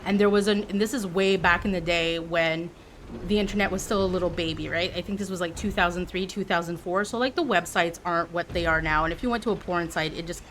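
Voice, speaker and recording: A woman's voice: on the low side, fast, a little hiss or hum. A woman in her forties, from Canada, chatting casually and playfully with viewers on a livestream. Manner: chatty and expressive, teasing and playful.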